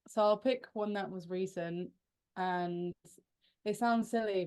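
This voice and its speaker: A woman's voice: fairly low pitch, darkish tone. A young woman, the frontwoman of a rock band, answering interview questions in a chatty, self-deprecating way with frequent restarts and laughs.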